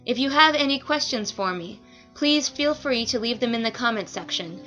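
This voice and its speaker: A woman's voice: on the high side, expressive, moderate pace, sharp tone. A woman speaking as author and reader, giving a polite, orderly scripted outro to listeners. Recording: dull lo-fi home recording with music behind. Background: music.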